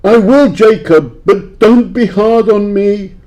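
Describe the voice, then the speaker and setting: A man playing a grumpy, frightened old miser in an audio play, theatrical and shifting between gruff scorn and pleading. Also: high-pitched, takes it slowly, darkish tone. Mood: meek, placating. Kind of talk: library audio play acting.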